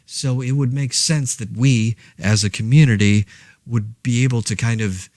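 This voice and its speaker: An actor playing a laid-back man podcasting alone through a zombie outbreak, rambling in a wry, deadpan, hesitant way. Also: lowish voice, no room sound, clean studio sound. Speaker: podcast host. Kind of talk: casual monologue, fictional audio diary.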